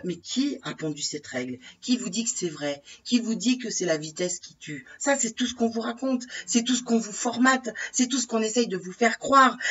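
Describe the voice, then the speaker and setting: Stuffy woman with a cold venting to her followers, breathy, kinda muffled and distant. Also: fairly high pitch, fairly bright tone. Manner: indignant, exasperated, repeats herself, many fillers and tag questions. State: has a head cold. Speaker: vlogger addressing her followers. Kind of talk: casual ranting monologue to camera. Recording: distant, dull lo-fi recording.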